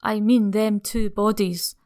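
A man reading aloud and putting on the voice of an old country housekeeper, a placid, superstitious woman with a who speaks slowly and ominously. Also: sits high, little pitch movement, clean tone, voice pushed up. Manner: slow, hushed, ominous.

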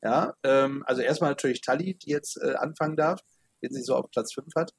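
A man's voice: mid-range voice, brisk, gravelly, a little hiss or hum. A man hosting a podcast in casual chat, chatty and full of fillers. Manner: chatty, many fillers, talks of his age. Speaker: podcast host.

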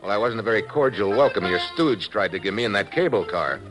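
A man playing a hard-boiled American operative in an old radio thriller. He is blunt, slangy and impatient, with a lively, expressive delivery over background music. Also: fairly low pitch, quick pace, close to an everyday voice. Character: tough, wisecracking American secret agent hero. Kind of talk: 1950s radio thriller acting.

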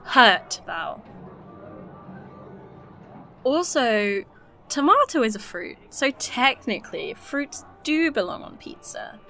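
A woman's voice: a little high, very animated, takes it slowly, pauses often, sharp tone, faint music behind, exaggerated voice. An actress playing a blunt, joking and curious woman in the 1980s, in an indie audio drama.

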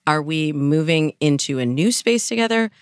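A woman's voice: deep voice, expressive, normal speed, smooth, dry recording, clean studio sound. An American woman speaking as a dating coach in a conversational, explanatory and emphatic way. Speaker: dating coach, podcast guest. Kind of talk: conversational expert advice.

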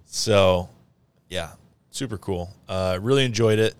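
A man's voice: low-pitched, a little slow, smooth, no room sound. A man in casual conversation about a movie, swearing freely and saying "like" a lot. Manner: loose, swears freely, uses "like" often. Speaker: podcast co-host.

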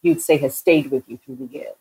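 A woman podcast host with a low voice with a husky edge. She talks in a casual, playful way, moving between interview questions and animated storytelling. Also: fairly quick, poor audio. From Nigeria.

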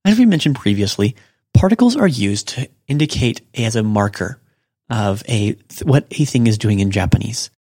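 A man hosting a podcast, giving a casual language lesson and slowly repeating example phrases. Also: fairly low pitch, animated, darkish tone, slightly rough, no echo, studio quality. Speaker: podcast host and Japanese teacher.

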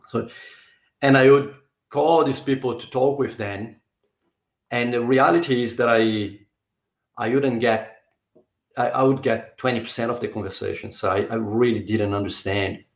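A man from Brazil, speaking as an interview guest in a halting way, often stopping to search for words and restart. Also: mid-range voice, steady tone. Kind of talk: conversational interview. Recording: roomy echo.

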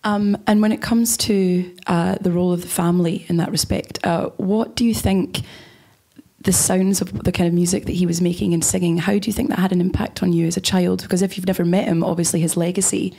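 A woman interviewer with a lowish, gravelly voice and a mellow, dark tone. She asks her questions in a measured, careful way. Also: fairly even intonation.

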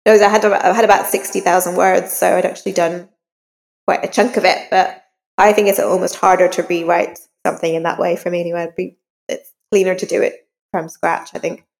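A woman's voice: fairly low pitch, level tone, a little fast, gravelly, big-room sound. A woman talking conversationally as a guest author in an interview.

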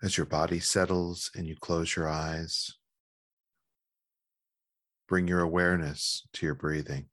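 A man leading a guided meditation in a calm, gentle, measured manner with long pauses. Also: low voice, dry recording, studio quality.

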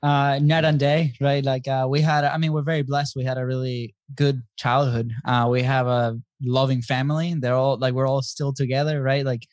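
A man's voice: medium pitch, normal speed, few pauses, dry recording, clean studio sound. A man in his thirties from Venezuela, chatting casually as an interview guest, laughing easily and peppering his talk with fillers. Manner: relaxed, laughs often, frequent "right?" and "uh" fillers. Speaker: guest, business co-founder.

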